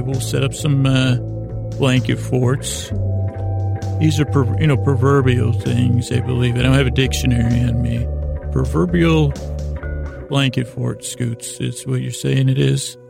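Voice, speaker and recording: A man with a gravelly voice hosting a bedtime podcast, talking in a hesitant, meandering way, stumbling over words and drifting off into tangents to lull listeners to sleep. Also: medium pitch, steady tone, unhurried, music in the background. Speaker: podcast host. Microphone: close studio mic.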